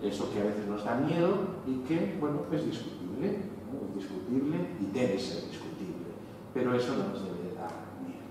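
A man introduces the guest speaker from a panel table, talking in an easygoing way with frequent fillers. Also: mid-range voice. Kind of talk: formal introduction. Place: large lecture hall, at a panel table. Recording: echoey hall, distant mic, hiss.

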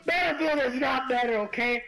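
A man's voice: very high-pitched, normal speed, pushed and bright, poor audio. Crude, taunting young man prank calling. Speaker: prank caller. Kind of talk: prank phone call. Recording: music laid over the voice.

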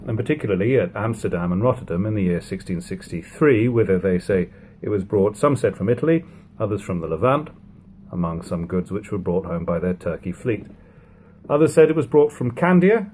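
Low-voiced man reading an old book aloud. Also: slight background noise. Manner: measured, even reading of old prose. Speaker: reader of a classic book. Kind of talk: unhurried audiobook reading. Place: living room at home.

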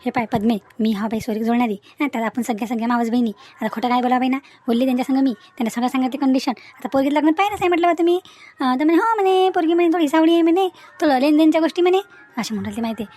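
A woman plays a chatty village matchmaker aunt in a comedy cartoon. Her voice is high and quick. She pushes her point with homely, repetitive insistence. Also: darkish tone, faint music behind. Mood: matter-of-fact persuading. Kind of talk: Marathi comedy cartoon dialogue.

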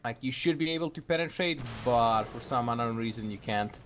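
A man chatting casually with a teammate while gaming, swearing freely in a halting way. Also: medium pitch, fairly bright tone, a little hiss or hum. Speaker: video game player.

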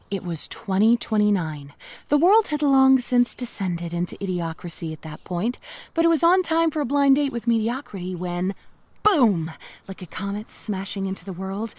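An actress playing a cheerful, self-aware young zombie, chatting wryly and playfully to the listener in a comedic audio drama monologue. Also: female voice, on the high side, dry recording. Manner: wry, playful, conversational asides to the listener.

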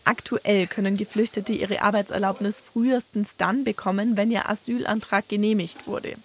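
A woman reporter reading a scripted news voice-over in a clear, measured way. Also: mid-range voice, fairly even intonation, a little hiss or hum.

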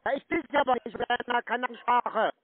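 A man answering scam calls in slangy casual chat, with a gruff, flippant and mocking manner. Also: very high-pitched, a little fast, no room sound. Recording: dry narrow phone-like sound.

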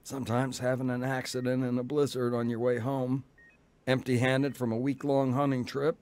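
Actor as sincere older brother, medium pitch. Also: male voice, flat delivery, moderate pace, darkish tone, close to an everyday voice. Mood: grateful, wry. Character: contrite older brother, newly grateful and sincere. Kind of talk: radio drama acting.

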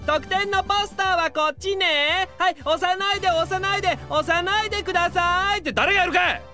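Sarcastic, high-voiced teenage boy. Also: fairly quick, soft background music, heavily acted. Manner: casual, sarcastic, expressive. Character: sardonic, put-upon male high school student. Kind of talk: Japanese audio drama acting.

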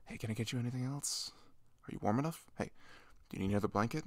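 A man playing a shy, sweet, flustered best friend in an intimate ASMR roleplay, hesitant, stammering and soft-spoken. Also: medium pitch, quick pace, no room sound, natural voice. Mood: caring. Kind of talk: ASMR roleplay, intimate one-sided dialogue.

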